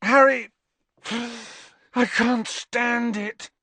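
A man voicing a lovesick, hot-tempered teenage wizard boy in an audiobook, breathy and strangled, with a dazed, pleading manner. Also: high voice, fairly even intonation, slow, a bit bright, no room sound, pitched above the performer's own voice. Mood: anguished. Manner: overwrought, dreamy, pleading. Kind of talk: audiobook character voice.

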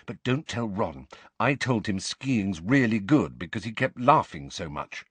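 A man voicing a brisk, bossy, clever teenage schoolgirl in an audiobook, airy and impatient in manner. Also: lowish voice, expressive, slightly rough, no room sound. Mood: brisk, bright.

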